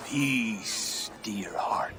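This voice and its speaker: Slow, solemn old village king. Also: on the low side, whispered, music in the background. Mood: tender, resigned. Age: older. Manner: measured, ceremonial delivery. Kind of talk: dramatic game cutscene acting.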